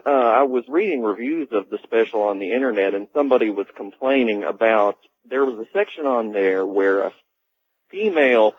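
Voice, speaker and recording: Male radio caller, mid-pitched, slightly rough voice, polite and measured, heard through a narrow phone line. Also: no room sound. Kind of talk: conversational opinion on a radio call-in. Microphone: telephone.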